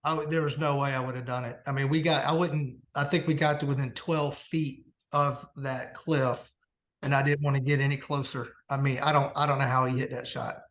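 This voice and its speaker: Male podcast guest, average pitch, informal anecdote-telling. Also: flat delivery, fairly quick, fairly bright tone. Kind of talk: casual chat, storytelling.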